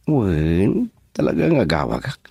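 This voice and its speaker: An actor with an Ilocano accent playing a playful young man working abroad, loud and joking in a radio drama. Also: low voice, wildly swooping pitch, a little slow, vocal fry, husky, dry recording, clean studio sound, exaggerated voice. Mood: cheerful.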